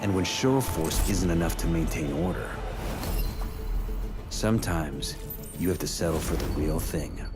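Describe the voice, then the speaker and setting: Under loud music, a deep-voiced hard-line policeman speaks. He is stern and authoritarian, delivering grim, menacing video game monologue with deliberate weight. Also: expressive. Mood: grim resolve. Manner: forceful rhetoric, grim and deliberate.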